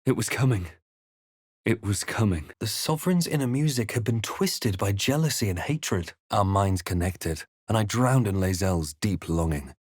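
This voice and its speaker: A man voicing a brooding, wry fantasy adventurer hero in a video game, with measured, dramatic delivery. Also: on the low side, animated, slightly rough, no echo, clean studio sound. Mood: grave, haunted. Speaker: video game player-character voice actor. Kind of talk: dramatic first-person fantasy game narration and voice lines.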